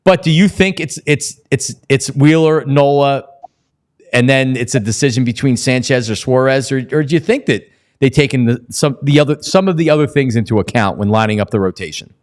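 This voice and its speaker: A man's voice: medium pitch, fairly quick. A man hosting a casual sports talk interview, talking in wordy, rambling questions with false starts. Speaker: sports show host.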